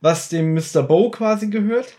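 A man's voice: high-pitched, normal speed, warm tone. A man hosting a podcast, chatting casually as he recaps an audio drama. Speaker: podcast host.

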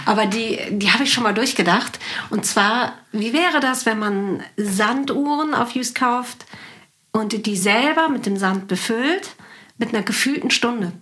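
A woman's voice: a little high, measured pace, fairly bright tone. A woman hosting a podcast in a casual, playful, conversational way. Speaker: podcast host; writer. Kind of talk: casual interview chat.

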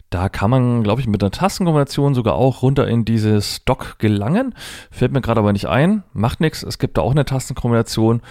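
A man's voice: on the low side, a little mellow, no room sound, studio quality. A man presenting a hands-on product demonstration, explaining informally step by step and thinking aloud as he goes. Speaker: presenter demonstrating assistive technology.

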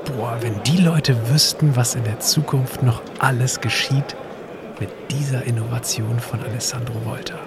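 A man's voice: mid-range voice, fairly even intonation, normal speed, gravelly, over loud music. A man speaking conversational, scripted audio drama dialogue as a time-travelling presenter.

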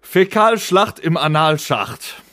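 A man's voice: a little high, lively intonation, slow, bright, forceful tone, no echo, clean studio sound. A man co-hosting a podcast with casual, bawdy jokes and colloquial talk. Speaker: podcast co-host. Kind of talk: casual, joking podcast banter.

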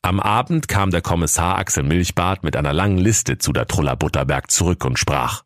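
A man's voice: deep voice, animated, brisk, dry recording, studio quality. A man narrating a scripted comic fairy tale as a mock-solemn storyteller.

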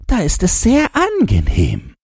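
Storybook old yard dog, very high yapping falsetto, swooping pitch, boastful and nostalgic. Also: male voice, wildly swooping pitch, a little slow, gravelly, darkish tone, breathy edge, no echo, studio quality, exaggerated voice. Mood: excited, knowing. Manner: knowing, exclamatory, barking.

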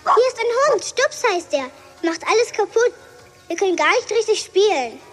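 A woman's voice: extremely high pitch, big pitch swings, moderate pace, fairly bright tone, exaggerated voice. A woman playing a little girl who pleads in a whiny way with her mother, in a children's radio play. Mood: pleading. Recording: music behind the voice.

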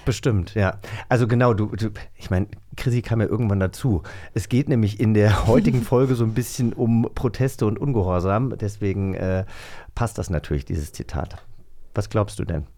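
A man's voice: fairly low pitch, normal speed, mellow. A man co-hosting a podcast in casual chat, playful and dropping in bits of English slang. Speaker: podcast co-host.

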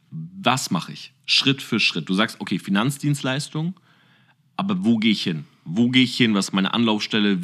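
Interviewer, man, lowish voice, talkative and probing. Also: fairly bright tone. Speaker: guest interviewer, entrepreneur. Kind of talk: casual podcast interview.

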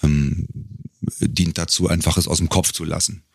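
A man speaking casually as an interview guest, a professional voice artist, who talks thoughtfully and haltingly as he searches for words. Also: rumbling low, flat delivery, darkish tone, breathy edge, dry recording, clean studio sound.

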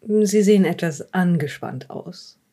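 A woman playing a calm police psychologist who questions a suspect politely and tentatively in an improvised audio drama. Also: lowish voice, unhurried.

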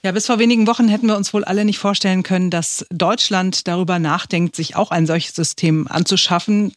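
High-voiced male presenter, dry sound. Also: steady tone, brisk, no room sound. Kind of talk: scripted introduction narration.

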